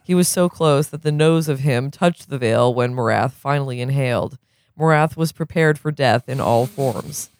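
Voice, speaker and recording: A man's voice: medium pitch, normal speed, no echo, studio quality. A man reading a literary novel aloud as narrator. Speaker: reader, co-host.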